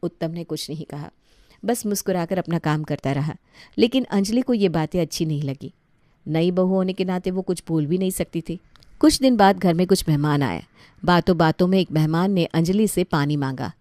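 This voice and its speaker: A woman's voice: on the low side, normal speed, dark tone, no room sound. Woman narrating a story, dry close sound. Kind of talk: scripted storytelling narration in a family comedy story.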